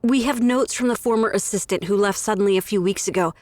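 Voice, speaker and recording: An actress playing an earnest young dental office worker, polite and pleading. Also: female voice, medium pitch, fast talker, a little mellow, no echo, natural voice.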